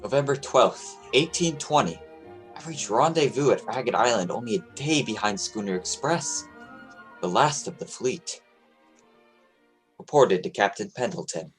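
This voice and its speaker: A man acting in a radio play as an earnest, determined young sea captain, speaking a little haltingly. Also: mid-range voice, lively intonation, soft background music, natural voice. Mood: formal, narrating.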